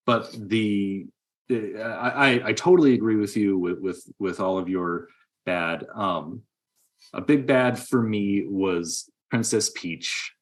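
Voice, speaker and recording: Male, lower register, casual review-show co-host who acts out quotes while storytelling. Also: slow. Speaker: podcast co-host. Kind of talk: casual movie review chat.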